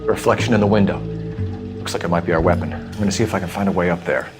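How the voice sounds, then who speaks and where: A man's voice: low voice, steady tone, very fast, natural voice. An American man playing a keen, confident young police patrolman in a video game, clipped and matter-of-fact. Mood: cool, observant. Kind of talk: video game dialogue acting. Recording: music behind the voice.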